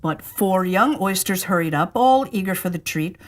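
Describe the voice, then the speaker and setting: A woman storyteller reading narrative verse aloud in an expressive, theatrical manner. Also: on the low side, moderate pace.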